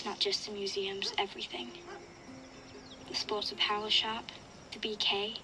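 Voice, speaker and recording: An actress playing a wistful young girl survivor, narrating a reflective, measured audio diary for a video game. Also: mid-range voice, lightly acted, rough recording. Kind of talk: scripted first-person audio diary narration.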